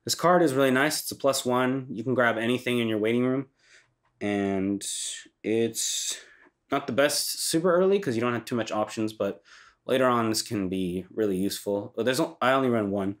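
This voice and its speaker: A young man with a congested, stuffy-nosed voice hosts a casual explainer. He sits at an ordinary middle pitch and talks loosely, with frequent ums and restarts. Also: normal speed. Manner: loose and conversational, frequent ums and self-corrections. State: congested from a cold. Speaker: channel host reviewing a card game deck.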